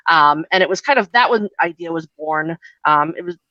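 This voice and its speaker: A woman's voice: deep voice, animated, moderate pace, dry recording, dull audio. A woman speaking as an interview guest in a casual, chatty and informal way, often dropping in "like" and "you know". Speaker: interview guest, comics writer and editor.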